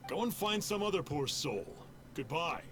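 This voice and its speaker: A man's voice: fairly high pitch, lively intonation, moderate pace, a bit bright, slight background noise. A man playing a busy, irritable townsman in a video game, curt and dismissive. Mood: irritated, curt. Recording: game music under the voice.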